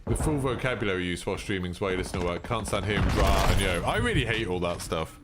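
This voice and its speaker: A man's voice: animated, fast talker, background noise. Laid-back British man streaming. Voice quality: deep voice. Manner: laid-back, chilled delivery. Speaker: gaming streamer. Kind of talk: casual live-stream chat over gameplay. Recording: gameplay gunfire and clicks behind.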